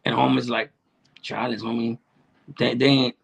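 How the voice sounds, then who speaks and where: A man's voice: mid-range voice, flat delivery, normal speed, gravelly, slightly rough. A middle-aged man, chatting casually on a livestream in streetwise slang and heavy swearing, often repeating himself. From Salvadoran, raised in Chicano neighborhoods. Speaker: livestream host answering chat.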